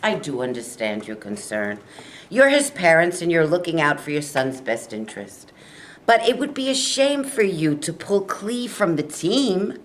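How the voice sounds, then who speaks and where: A woman playing an earnest, reassuring high school football coach in a staged reading, with a measured, persuasive delivery. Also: very animated.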